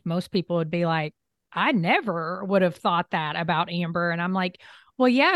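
A woman's voice: lowish voice, dry recording, studio quality. A woman hosting a conversational interview, talking in an open, affirming way. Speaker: host.

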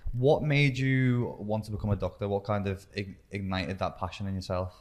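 Male podcast co-host, lowish voice, rambling questions, restarts. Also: fairly even intonation, moderate pace, a little mellow. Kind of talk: podcast interview.

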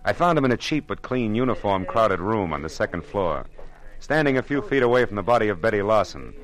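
A man's voice: fairly low pitch, big pitch swings, a little fast. An American man acting a wry, punchy hard-boiled private detective in the style of 1940s radio drama. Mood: wry, brooding. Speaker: lead actor and first-person narrator. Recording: vintage radio broadcast.

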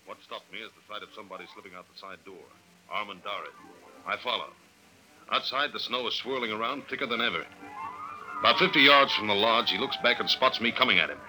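A man's voice: fairly low pitch, fast talker, over music, natural voice, poor audio. An actor playing a tough, wry detective agent who narrates his case in clipped slang, in the style of an old-time radio drama. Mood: tense, hushed. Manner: clipped, slangy, hard-boiled. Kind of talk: old-time radio drama acting, hard-boiled first-person narration. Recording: vintage radio drama recording.

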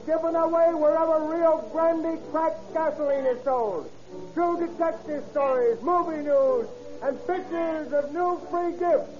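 A man playing an excitable young newsboy, crying out his wares in a 1930s radio advertisement. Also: very high voice, measured pace, over music. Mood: excited, hawking. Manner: loud sing-out hawking. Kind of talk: 1930s radio advertisement, street hawker's cry. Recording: dull vintage radio recording.

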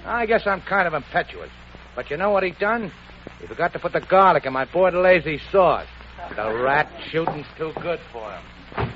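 An actor playing an impetuous, blunt gangster with gourmet tastes in a 1940s radio comedy. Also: male voice, fairly high pitch, animated, moderate pace, lightly acted. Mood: gruffly indignant. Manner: tough-guy, blunt. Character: impetuous trigger-happy gangster with a gourmet's soul. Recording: dull noisy old radio recording.

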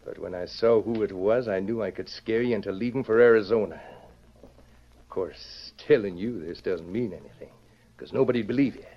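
An actor playing a cornered, violent killer in an old radio western, gloating and threatening. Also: male voice, lowish voice, moderate pace. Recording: dull vintage radio recording.

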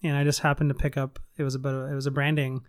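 Podcast host, man, smooth and resonant, dry close mic, friendly and chatty. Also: mid-range voice, little pitch movement, fast, no room sound. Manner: friendly storytelling with fillers. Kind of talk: casual interview. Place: studio in San Antonio.

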